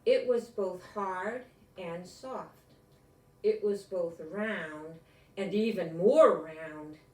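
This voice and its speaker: Older lady doing storytime for kids, really expressive and unhurried, kinda far from the mic in an echoey room. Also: fairly low pitch. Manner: expressive, asks listeners questions and adds asides. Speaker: storyteller reading a picture book aloud. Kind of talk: animated read-aloud to children.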